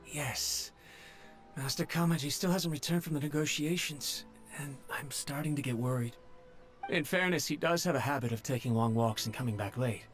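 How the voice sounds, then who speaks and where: A man's voice: mid-range voice, normal speed, soft background music, natural voice. An actor playing a nervous young male servant who stammers and speaks humbly. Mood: worried.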